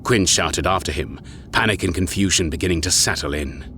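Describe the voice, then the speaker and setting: A man's voice: deep voice, fairly quick, bright, forceful tone, a little hiss or hum. A man reading scripted, dramatic narration for an audio story. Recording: music behind the voice.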